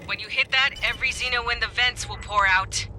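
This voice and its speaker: A woman playing a tough, foul-mouthed military dropship commander in a video game, brisk and clipped in her orders. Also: natural voice. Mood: brisk, commanding. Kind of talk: video game voice acting, tactical radio commands. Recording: noisy game mix with machinery rumble.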